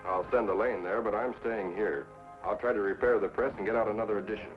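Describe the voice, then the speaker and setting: A man's voice: on the low side, steady tone, fast talker, lightly acted. An actor playing a stubborn, upright frontier newspaperman, plain-spoken and earnest, in a 1950s TV Western. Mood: stubborn, concerned. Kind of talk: 1950s television Western acting. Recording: muffled 1950s TV soundtrack with music.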